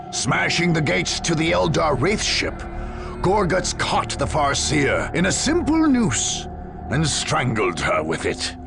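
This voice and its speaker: A man narrating a war game as a grave, grandiose chronicler in a solemn, theatrical, measured way. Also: a little high, creaky, exaggerated voice. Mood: grim relish. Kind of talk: dramatic scripted game narration.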